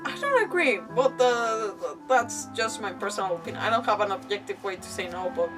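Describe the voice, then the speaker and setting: A woman live streamer giving casual, animated commentary on a game, thinking aloud in a giggly, chatty way. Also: medium pitch, fairly quick. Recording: slightly distant mic, faint game music. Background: faint game music.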